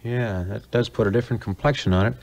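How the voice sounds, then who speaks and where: A man's voice: fairly low pitch, steady tone, fast, vocal fry, a little mellow. A man playing a despairing, world-weary defender of a fort in a 1950s radio-style adventure.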